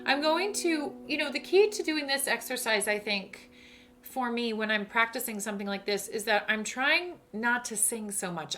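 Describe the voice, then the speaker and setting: A woman voice teacher leading sung vocal exercises and explaining technique in a casual, self-deprecating way, with a big singing voice. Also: fairly high pitch. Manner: sings staccato scale syllables then explains technique in a relaxed spoken voice; self-deprecating, apologizes for slips. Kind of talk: vocal exercise lesson with piano. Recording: loud piano under the voice. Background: piano accompaniment.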